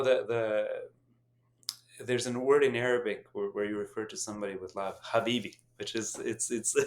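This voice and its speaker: A middle-aged man whose first language is Albanian, animatedly telling stories in a casual podcast chat with restarts and chuckles. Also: lowish voice. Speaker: podcast host and company founder.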